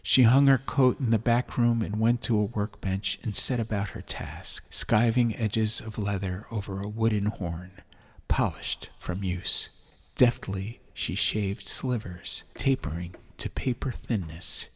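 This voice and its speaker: A man reading a story as an atmospheric audiobook narrator, in measured short phrases with pauses. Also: on the low side. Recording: clear background ambient noise. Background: ambience.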